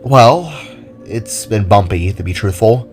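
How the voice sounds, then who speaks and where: A man's voice: lowish voice, expressive, slow pace. A man acts out a younger-brother spark creature in an audio drama, an earnest vigilante who is a little self-conscious. Music runs behind the voice. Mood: candid, musing.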